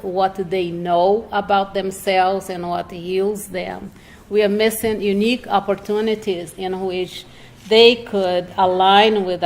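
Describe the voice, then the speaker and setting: Woman, lowish voice, measured and earnest panel talk, light room noise behind. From Brazil. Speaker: panel speaker, state health agency worker. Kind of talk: public panel talk, personal and reflective. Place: indoor library event. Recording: clearly noisy room ambience.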